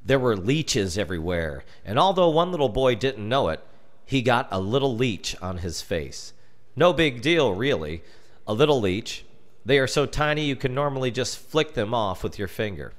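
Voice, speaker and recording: An American man narrating a scripted children's horror story in a deliberate, dramatic storytelling manner. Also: medium pitch, fairly bright tone.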